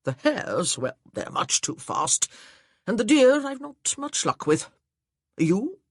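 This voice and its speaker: A narrator doing a booming jovial old gentleman. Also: sing-song, slow pace, slightly rough, no room sound, studio quality, full character voice. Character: hearty talkative nearsighted older gentleman. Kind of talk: audiobook character voice.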